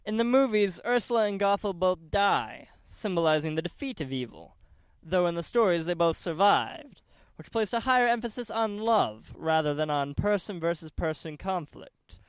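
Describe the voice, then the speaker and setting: A man's voice: sits high, animated, normal speed, clear, resonant tone, no echo. A man narrating a documentary from a script in a formal, careful, essay-like manner. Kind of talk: scripted narration, read aloud.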